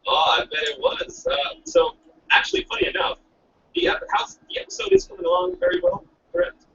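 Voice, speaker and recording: Lively man on distant lo-fi call. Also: very high-pitched, brisk, creaky. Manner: laid-back, jokey, swears casually. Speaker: podcast host. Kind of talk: casual chat over a call. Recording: distant lo-fi call audio with faint hiss.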